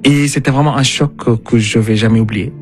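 A man's voice: mid-range voice, steady tone, brisk, faint music behind. A man from Sudan, not a native French speaker, giving personal testimony as an interview guest, searching for his words. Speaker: interview guest, refugee telling his story.

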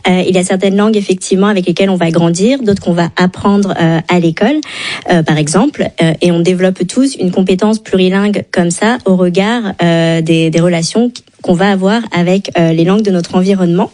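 A woman's voice: fairly low pitch, brisk, darkish tone. A woman studio guest who manages training projects, explaining with frequent hesitations and fillers. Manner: hesitant, many filler sounds. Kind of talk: expert commentary in studio. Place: radio studio.

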